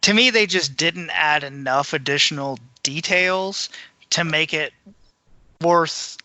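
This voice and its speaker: A man's voice: on the high side, takes it slowly, pushed and bright, dry recording. A man co-hosting a podcast, chatting casually about a book. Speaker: podcast co-host.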